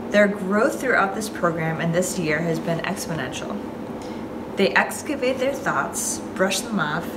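A woman in her thirties reads a scripted award speech, recorded on a phone a few feet away. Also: lowish voice. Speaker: award presenter. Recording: very noisy phone recording with ambience.

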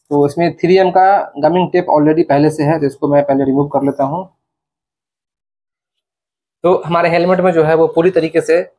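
A man's voice: fairly high pitch, a little mellow, slight background noise. A young man, explaining step by step to camera in a casual vlog style. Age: young adult. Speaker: vlogger. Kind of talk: casual product installation demo to camera. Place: indoors in a small bedroom.

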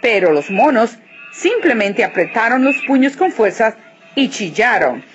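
A woman narrating a children's story expressively, with deliberate, dramatic phrasing. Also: on the high side, very animated. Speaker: storybook reader. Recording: muffled audio with music behind.

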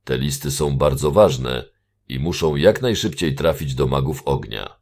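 A super deep grave fire mage, bossy, fantasy radio play. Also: male voice, expressive, unhurried, a little mellow, full character voice. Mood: grave, urgent. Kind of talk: fantasy audio drama acting.

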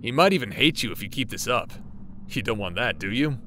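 A man's voice: medium pitch, animated, brisk, sharp tone, slight background noise. Sardonic man with a mid-range voice acted. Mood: mocking, casual. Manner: sardonic, informal, blunt. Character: casual, mocking male figment of the listener's thoughts. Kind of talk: audio roleplay drama acting.

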